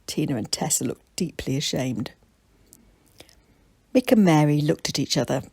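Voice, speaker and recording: A woman reads a story for children in a lowish, husky voice. It is scripted storybook narration, captured close and dry. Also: animated, moderate pace, mellow, no room sound. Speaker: author reading own children's story.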